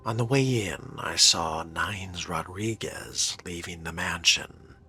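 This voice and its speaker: A man's voice: sits low, takes it slowly, bright, forceful tone, faint music behind. A man role-playing his game character's dialogue in a terse, formal manner.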